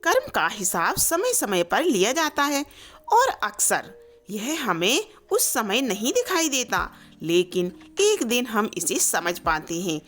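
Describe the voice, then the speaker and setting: Woman doing a kindly wise old granny giving advice in a story, slow, lots of pitch movement. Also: huge pitch swings, a bit bright, exaggerated voice. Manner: reassuring, instructive.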